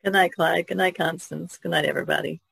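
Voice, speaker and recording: A woman's voice: on the low side, fairly even intonation, fast, gravelly, fairly bright tone, slightly rough, dry recording. A woman chatting casually as a panellist on a podcast. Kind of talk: casual chat.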